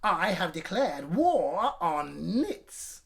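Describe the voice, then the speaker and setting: A man's voice: high voice, big pitch swings, drawn-out words, vocal fry, fairly bright tone, heavily acted. Guy doing a scary storybook lady teacher, growly, bossy, drags out her words. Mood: stern menace. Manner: growling, demanding, clipped orders. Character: fierce, stern, commanding woman schoolteacher. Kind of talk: children's storybook character.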